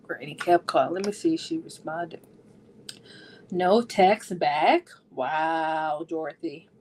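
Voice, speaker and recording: Slow, sassy woman chatting. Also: low-pitched, darkish tone, a little hiss or hum, low-quality recording. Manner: sassy and playful, slangy, acting out characters' lines. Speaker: book vlogger hosting a readalong discussion. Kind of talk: casual, chatty book recap.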